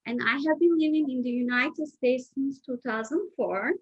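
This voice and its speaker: A high-pitched woman with a Turkish accent talks her viewers through a home cooking demo. She is chatty, with loose non-native phrasing and a habit of asking "okay?". The sound is dull and lo-fi. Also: normal speed, breathy edge. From Turkey. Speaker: guest chef. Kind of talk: informal cooking demonstration.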